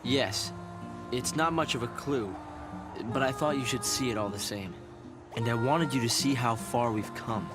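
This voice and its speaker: An actor playing an earnest young male research leader in a video game, speaking in a measured, polite, explanatory way. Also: medium pitch, lightly acted. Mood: earnest, reflective. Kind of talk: video game English dub acting. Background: loud music.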